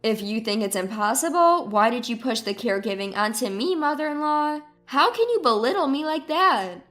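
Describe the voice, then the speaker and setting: Wronged daughter-in-law played by a woman, high voice, earnest, argumentative, story drama. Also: expressive, normal speed, fairly bright tone, soft background music. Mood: hurt, indignant. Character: wronged, outspoken daughter-in-law standing her ground.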